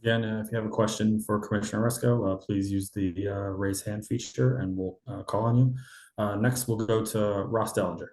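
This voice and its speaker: A man's voice: fairly low pitch, fairly even intonation, fairly quick, a little mellow, reverberant. A man moderating a press call and introducing the questioners.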